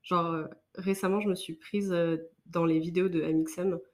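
A woman's voice: on the low side, steady tone, normal speed. A woman hosting a casual writing podcast, chatty and friendly, with many fillers. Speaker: podcast host.

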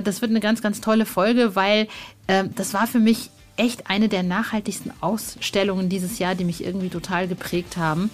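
A woman presenting as a podcast host, in a casual style with announcements. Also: mid-range voice.

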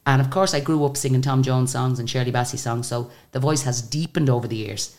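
An Irish woman with a very deep voice, a singer chatting as a guest and telling stories in a lively, animated way. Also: fairly quick. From Ireland. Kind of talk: casual interview storytelling.

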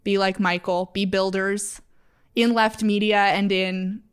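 Woman podcast co-host, smooth and resonant voice, reflective with dry humor. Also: medium pitch, flat delivery, takes it slowly. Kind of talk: casual podcast chat.

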